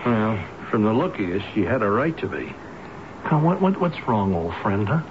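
An actor playing a kindly, folksy friend in a radio drama, hesitant and stammering, full of sympathy and concern. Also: male voice, fairly low pitch, sing-song, normal speed. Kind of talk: 1970s radio drama acting. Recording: noisy muffled old radio broadcast recording.

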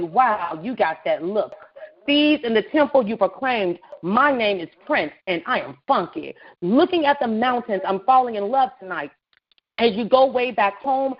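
A woman performing a spoken word poem at an open mic, with a rhythmic, measured delivery. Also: medium pitch. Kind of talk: spoken word poetry recital. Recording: dull lo-fi online radio audio.

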